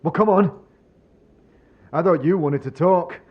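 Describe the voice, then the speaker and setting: Male actor as bitter broken man, despairing, sardonic, slow delivery, fantasy audio drama, slightly muffled recording. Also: a little high, husky, pitched above the performer's own voice. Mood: bitter, agitated. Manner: brooding, sardonic, rhetorical questioning. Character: despairing, bitter man who has lost all faith.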